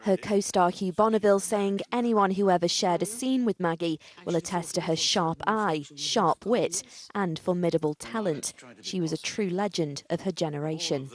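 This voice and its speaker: A British woman news reporter voicing a scripted report. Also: on the low side.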